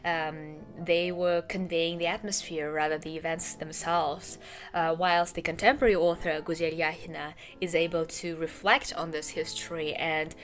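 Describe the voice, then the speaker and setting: A woman's voice: low voice, little pitch movement, moderate pace, faint music behind. A young woman whose first language is Russian, chatting about books at home into a desk mic, with frequent ums and uhs. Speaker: book vlogger. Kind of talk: casual book talk. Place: home room with bookshelves, indoors. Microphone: desk podcast mic on a boom arm.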